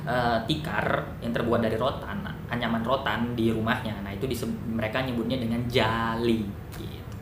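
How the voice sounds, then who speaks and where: A man talks through a lesson in a loose, chatty way, stopping to correct himself and toss in little jokes. His voice sits at an ordinary pitch. The room around him is echoey, and a steady rumble of noise runs underneath. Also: moderate pace. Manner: chatty, thinks aloud with fillers and self-corrections, jokey asides. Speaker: educational YouTuber. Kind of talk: casual educational monologue.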